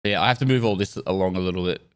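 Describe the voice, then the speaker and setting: Congested, relaxed male streamer. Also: sits low, rapid-fire, clear, resonant tone. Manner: relaxed, rambling, swears casually. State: congested nose from a cold. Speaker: live streamer. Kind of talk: casual live-stream chat, thanking subscribers.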